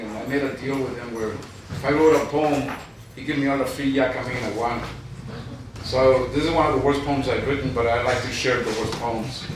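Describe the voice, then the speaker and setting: A man reading his poetry aloud at a live event, with an informal, self-deprecating, rhythmic delivery. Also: mid-range voice. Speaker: poet. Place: live event room in Chicago. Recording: distant mic, very echoey noisy room.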